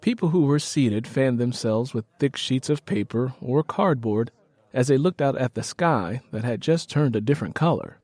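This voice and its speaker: A man's voice: mid-range voice, expressive, moderate pace, darkish tone, no room sound. A man reading a scripted story as an audiobook narrator, in a dramatic storytelling style.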